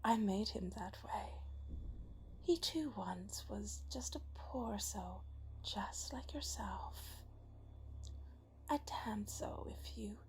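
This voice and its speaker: A sinister dark spirit played by a woman speaks in a slow, taunting whisper. She sounds menacing, like the mistress of a dark throne in an ASMR fantasy drama. A faint noise sits behind the voice. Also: mid-range voice, low-quality recording. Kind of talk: ASMR roleplay voice acting.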